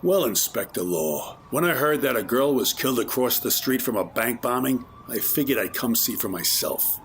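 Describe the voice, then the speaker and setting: Animated, stern police captain, played by a man. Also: mid-range voice, moderate pace, a little hiss or hum, close to an everyday voice. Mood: irritated. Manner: authoritative, confrontational. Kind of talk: audio drama acting.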